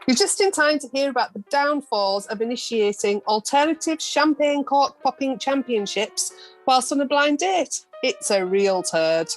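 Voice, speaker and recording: A woman acts a shameless, bawdy woman in a homemade radio comedy. She is theatrical and boastful, in a somewhat high voice. It was recorded on a video call at home, with soft music. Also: expressive, normal speed, poor audio. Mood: brazen. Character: Nancy, brazen, bawdy, shameless female storyteller. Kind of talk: amateur radio play acting.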